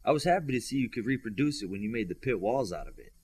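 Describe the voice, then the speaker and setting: A man's voice: mid-range voice, lively intonation, fast talker, a little mellow, husky, no room sound. A man reading fiction as an audiobook narrator, in a scripted storytelling style. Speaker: audiobook reader. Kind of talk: scripted fiction narration with lifted character dialogue.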